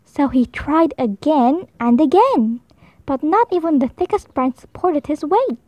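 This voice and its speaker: A woman reading a children's picture book aloud, slowly and expressively, with higher voices for the animals. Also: dry recording, highs cut off. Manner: slow, expressive, high voices for animal lines. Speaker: storybook reader. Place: indoors at a table, book held to camera.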